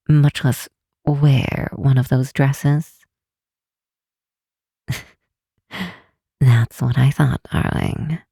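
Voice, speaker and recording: Low, mellow, teasing vampire woman, acted by a woman. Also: no echo, clean studio sound, heavily acted. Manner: soft, unhurried, teasing, sometimes breathy near-whisper. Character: affectionate, teasing old-world vampire woman, a scientist friend. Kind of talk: intimate ASMR roleplay, one side of a call.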